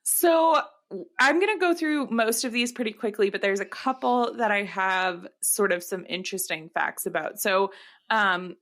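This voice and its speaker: A woman's voice: medium pitch, normal speed, a bit bright. A woman co-hosting a podcast in casual comedic chat, joking in a playful, mock-serious way. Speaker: podcast co-host.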